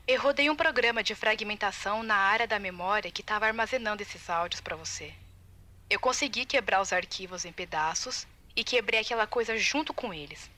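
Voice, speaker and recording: Bright-voiced Brazilian woman, anxious game tester acted by a woman. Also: medium pitch, lightly acted. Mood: worried, pleading. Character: anxious, determined female game tester leaving secret warning tapes. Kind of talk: recorded audio-log monologue, video game fan dub.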